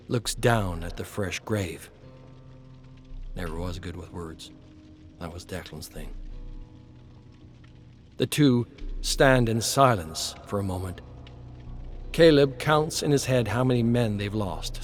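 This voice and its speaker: Slow, low-voiced male western narrator. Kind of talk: dramatic scripted western story narration.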